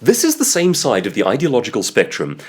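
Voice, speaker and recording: A man in his thirties with a South African accent, hosting a sarcastic opinion commentary and swinging his pitch widely for emphasis. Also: wildly swooping pitch, quick pace, breathy edge. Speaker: host.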